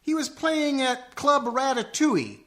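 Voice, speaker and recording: Man acting as young musician son, radio comedy: earnest, melodramatic, very animated and bright. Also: very high voice, measured pace, heavily acted. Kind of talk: comic radio play acting.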